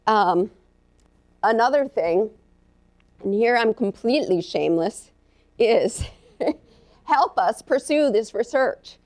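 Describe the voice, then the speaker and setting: A woman professor giving a live lecture to an audience, earnest and halting, with many ums and uhs. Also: on the high side, animated, a little mellow. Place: lecture room.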